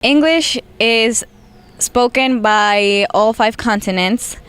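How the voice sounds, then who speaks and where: Clear-voiced woman reading a presentation. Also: fairly high pitch, slow, a bit bright, no echo. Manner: reads a prepared text with small hesitations. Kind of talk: scripted presentation.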